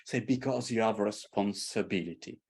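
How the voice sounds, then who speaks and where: A man's voice: fairly low pitch, moderate pace, husky. A middle-aged man from Italy with a strong Italian accent, telling stories with passion in a casual conversation. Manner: passionate, animated storytelling. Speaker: artist and tarot creator. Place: London.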